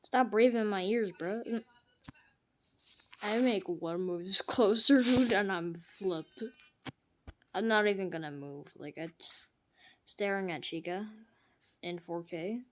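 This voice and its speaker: Unhurried woman joking through gameplay. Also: medium pitch. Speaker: gamer. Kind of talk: gameplay commentary.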